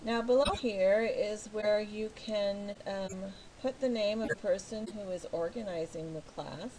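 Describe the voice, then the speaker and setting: A middle-aged American woman from California, a teacher talking slowly and patiently through each step of filling in a form online. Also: medium pitch, darkish tone, slight background noise, poor audio. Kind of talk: screen walkthrough tutorial.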